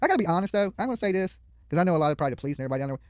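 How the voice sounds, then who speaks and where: Man, casual livestream host, rapid talker, opinionated, colloquial, swears freely, dry sound. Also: high voice, very animated, a little mellow, clean tone, no echo. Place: studio.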